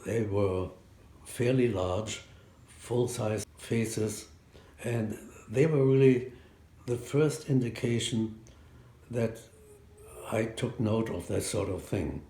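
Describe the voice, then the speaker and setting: A man in his nineties from Hungary, slowly and thoughtfully recalling his childhood in a seated interview. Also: lowish voice, mellow, echoey room. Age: 90s. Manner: slow, reflective recollection. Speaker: Holocaust survivor telling his story. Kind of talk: recorded life-story interview. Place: home room with a framed poster. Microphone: seated, framed from chest up.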